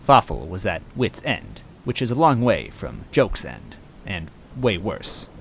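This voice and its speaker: A man reading a scripted comic story as narrator, with wry, deadpan asides. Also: low-pitched, unhurried. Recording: rain noise and game music behind.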